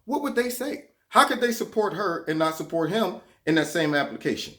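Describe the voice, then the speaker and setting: A man's voice: high voice, lively intonation, brisk, sharp tone. Sarcastic man hosting a political vlog. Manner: sarcastic, repeats words when heated. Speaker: vlog host.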